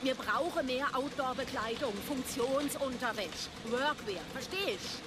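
An actress playing a brusque, domineering grown daughter in a radio drama, bossy and impatient. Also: female voice, sits high, unhurried. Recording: noisy ambient background.

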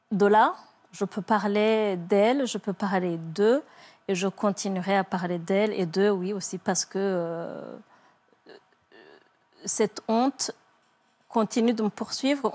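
Soft-spoken woman, halting testimony. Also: mid-range voice. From Rwanda. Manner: soft, halting, many hesitations and repeats. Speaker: guest, psychologist. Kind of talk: emotional TV interview testimony. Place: TV studio set. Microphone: lapel mic.

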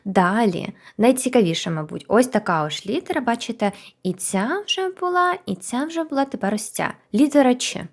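A woman teaching a language lesson in an animated, chatty, encouraging way with emphatic repetition. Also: on the high side, moderate pace, hardly any pauses. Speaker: Korean language teacher and video host. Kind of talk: conversational language lesson to camera.

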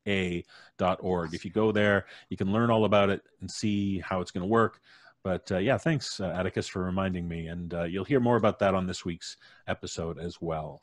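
A man's voice: sits low, no echo, studio quality. A man casually hosting a podcast livestream, speaking as the host on a video call. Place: indoor room, remote video call.

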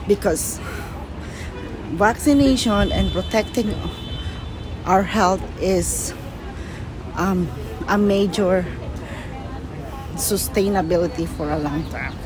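A woman's voice: mid-range voice, takes it slowly, pauses often. A young woman whose first language is Filipino, filming a walking vlog on a phone and talking earnestly, repeating words for emphasis. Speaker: vlogger. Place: outdoors on a busy city waterfront plaza. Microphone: handheld phone camera, speaker off screen. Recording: outdoor phone recording with street noise.

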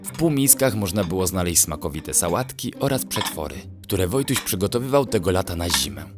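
Deep, expressive male bedtime storyteller. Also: fairly quick. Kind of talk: scripted children's bedtime story narration. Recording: music under the voice. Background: music.

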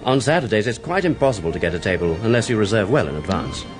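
A deferential head waiter in a radio play. He speaks briskly and formally, with a lowish voice that rises and falls freely. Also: very animated, music in the background. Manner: formal, servile. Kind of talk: radio drama acting.

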